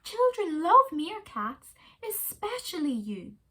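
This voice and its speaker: A woman voicing a patient, weary female meerkat who talks to her husband in a gently coaxing way. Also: very high voice, very animated, slow, voice pushed up, heavily acted.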